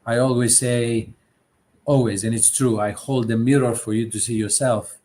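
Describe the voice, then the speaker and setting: A man's voice: medium pitch, fairly even intonation, moderate pace, dark tone, slight background noise. Middle-aged man. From Greece. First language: Greek. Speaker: guest, leadership coach. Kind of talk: conversational interview storytelling.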